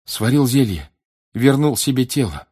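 A man voicing a frightened, agitated teenage boy wizard in an audiobook, speaking in urgent, halting exclamations. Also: medium pitch, animated, a little mellow, breathy edge, voice pushed up. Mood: shaken. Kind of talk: audiobook character acting.

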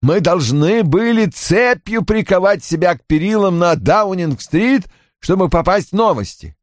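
A man's voice: sits high, lively intonation, measured pace, dry recording, clean studio sound, pitched above the performer's own voice. A man voicing a bitter, brusque older father in an audiobook, irritable and defensive, with cold, emphatic delivery. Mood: agitated. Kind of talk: audiobook character acting.

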